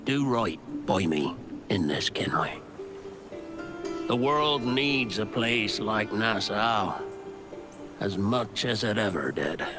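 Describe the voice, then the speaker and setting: Gruff old pirate captain, slow n swooping delivery, wry, game cutscene w loud music under it. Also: male voice, fairly low pitch, expressive. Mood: earnest, fatherly. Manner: theatrical, deliberate, colloquial old seafaring speech. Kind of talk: dramatic letter voice-over in a game cutscene.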